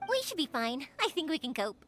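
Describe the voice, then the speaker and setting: A woman's voice: high voice, big pitch swings, fairly quick, a bit bright, faint music behind. An actress voicing a bubbly, friendly young female fireworks maker in a video game. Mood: cheerful. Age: young adult.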